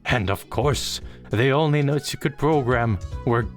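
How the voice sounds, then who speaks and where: A man voicing a timid, panicky little male ghost who squeaks and stammers when nervous. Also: measured pace, faint music behind, natural voice. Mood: fretful.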